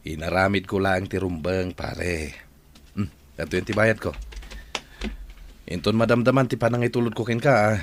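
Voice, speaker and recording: A man's voice: sits low, fairly even intonation, normal speed, long pauses, a little hiss or hum, natural voice. A man playing a kindly, helpful village friend in an Ilocano radio drama, with a friendly, modest manner. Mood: friendly, grateful.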